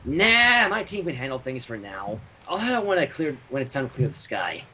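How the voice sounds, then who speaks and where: Guy doing a brash pegasus mare, offhand n casual, bouncy pitch, bit of noise. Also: very animated, moderate pace, bright, forceful tone. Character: brash, casual young adult female flying pony. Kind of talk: fan fiction character reading.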